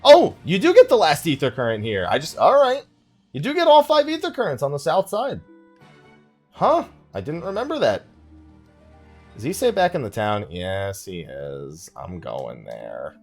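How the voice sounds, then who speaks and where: A man's voice: fairly high pitch, huge pitch swings, moderate pace, darkish tone. A man doing casual let's play commentary as a streamer, talking half to himself as he plays. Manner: talks to himself while playing. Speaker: video game streamer. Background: game music.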